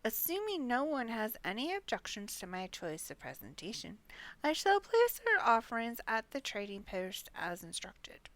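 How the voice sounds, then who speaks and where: Woman voicing an earnest, high-pitched young fantasy nobleman. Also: wildly swooping pitch, moderate pace, fairly bright tone, exaggerated voice. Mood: pleased, formal. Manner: formal, precise, genteel wording.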